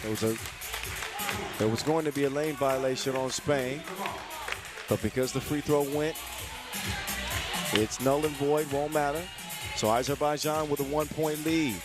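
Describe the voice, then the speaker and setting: Man calling a basketball game, lightly raised pitch, playful delivery; arena crowd and music loud underneath. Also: moderate pace, long pauses. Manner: lively, joking play-by-play. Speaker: play-by-play commentator. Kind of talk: live sports commentary.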